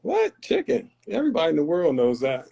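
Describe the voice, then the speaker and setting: A man's voice: fairly high pitch, huge pitch swings, fairly quick, creaky, dark tone, slightly rough. A man from North Carolina, a podcast guest talking in an earnest, philosophical, somewhat preachy way. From Tarboro, North Carolina. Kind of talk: reflective conversational talk.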